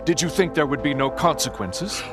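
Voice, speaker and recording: A bold, confident human challenger from a video game, played by a man. His voice is fairly high and animated, with indignant delivery over clear music. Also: fairly quick, lightly acted. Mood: defiant. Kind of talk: video game cutscene acting.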